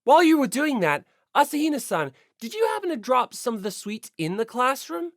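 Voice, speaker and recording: A woman's voice: sits high, big pitch swings, fairly quick, dry recording, clean studio sound, voice pushed up, heavily acted. A woman voicing an earnest, easily flustered schoolboy detective in an audiobook, with an insistent manner. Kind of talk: audiobook character voice.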